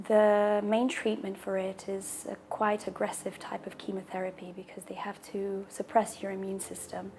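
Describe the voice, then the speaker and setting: A woman in her thirties, a native Portuguese speaker, telling her personal story in a calm, measured way, with a husky voice and deep breaths between sentences. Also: slight background noise. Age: 30s. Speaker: interviewee sharing a personal story. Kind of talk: personal testimonial.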